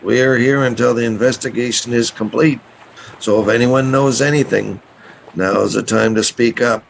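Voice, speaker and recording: Gravelly boat captain played by a man. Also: mid-range voice, steady tone, normal speed, a little hiss or hum, close to an everyday voice, rough recording. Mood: firm. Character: gruff, authoritative male boat captain. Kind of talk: radio play acting and narration.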